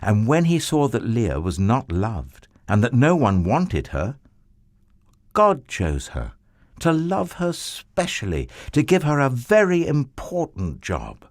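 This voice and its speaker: A man telling a children's Bible story in an expressive, theatrical way with drawn-out emphasis. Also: mid-range voice, no echo, studio quality.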